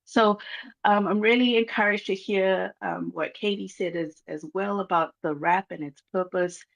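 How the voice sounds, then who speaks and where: A speaker from Malaysia with a Malaysian accent, giving an earnest, measured address over a video call, pausing often with um. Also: medium pitch, fairly even intonation, creaky, fairly bright tone. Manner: earnest, measured, many um pauses. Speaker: guest speaker from a reconciliation organization. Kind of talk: formal address at a launch event.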